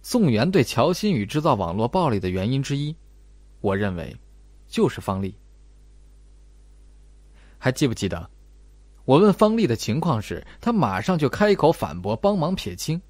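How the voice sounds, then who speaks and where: A man's voice: mid-range voice, big pitch swings, darkish tone, dry recording. Man playing a cool, clever young detective. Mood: cool, analytical. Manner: measured, cool, explanatory. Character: calm, clever young male student detective.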